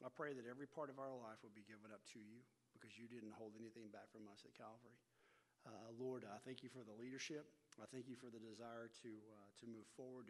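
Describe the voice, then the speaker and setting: A middle-aged man with a North Texas accent, a church education pastor leading a workshop in a folksy, self-deprecating way, full of restarts and fillers. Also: medium pitch. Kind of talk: casual talk to a church group, opening with prayer.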